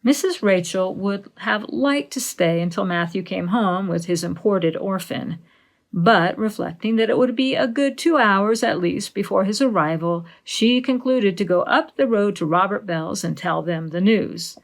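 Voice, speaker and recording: A woman's voice: medium pitch, unhurried, gravelly. A woman reading a classic novel aloud as audiobook narration.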